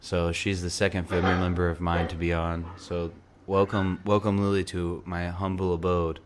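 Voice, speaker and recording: A man's voice: deep voice, level tone, moderate pace, noisy background. A young man hosting a podcast in casual chat, rambling with lots of restarts and filler, and losing his voice. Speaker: podcast host. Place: apartment beside a busy night market.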